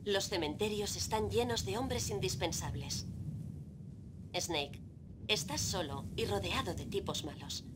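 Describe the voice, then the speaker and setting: An actress playing a calm young woman advising a soldier over the radio, quoting proverbs in dubbed game dialogue. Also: mid-range voice, a little slow, lightly acted. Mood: gentle. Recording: noisy game audio.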